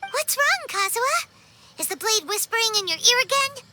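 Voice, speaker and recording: Squeaky, tiny fairy companion. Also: female voice, normal speed, very noisy. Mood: alarmed. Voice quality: squeaky, childlike. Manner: animated, blunt, exclaiming. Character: small childlike fairy companion, excitable, curious. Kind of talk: cartoonish game acting. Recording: loud music behind. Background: music.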